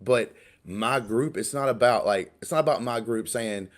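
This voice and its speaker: A man's voice: mid-range voice, moderate pace. A man in his late thirties co-hosting a casual podcast chat, talking in a rambling way and restarting his words often. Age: 30s. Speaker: podcast co-host.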